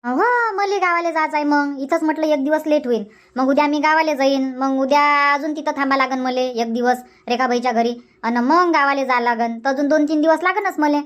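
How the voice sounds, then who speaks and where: A woman as an insistent, high-voiced village aunt. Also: fairly even intonation, a little fast, lo-fi sound, close to an everyday voice. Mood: coaxing. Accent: Varhadi Marathi from Vidarbha. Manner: insistent, repetitive, scolding. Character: bossy, hospitable middle-aged village woman, insistent aunt. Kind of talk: Marathi cartoon comedy dialogue.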